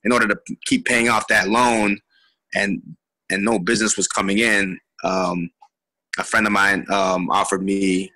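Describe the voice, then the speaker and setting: Low-voiced halting man from Chicago. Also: little pitch movement, bright, forceful tone, poor audio. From Chicago, USA. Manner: halting, many ums and restarts. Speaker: guest. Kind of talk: casual interview storytelling.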